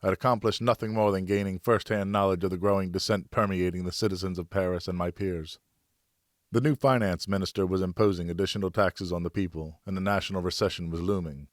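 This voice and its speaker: A man narrating a novel in the first person as a professional audiobook reader, measured and dramatic. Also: low voice, no echo, clean studio sound.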